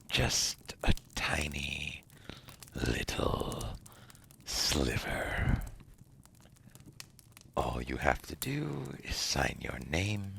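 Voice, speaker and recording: Man playing a very deep, gravelly whispering devil. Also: fairly bright tone, a little hiss or hum, full character voice. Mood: coaxing, teasing. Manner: theatrical, drawn-out, teasing. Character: seductive, cunning otherworldly male devil offering tempting deals. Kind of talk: ASMR roleplay, slow whispered monologue.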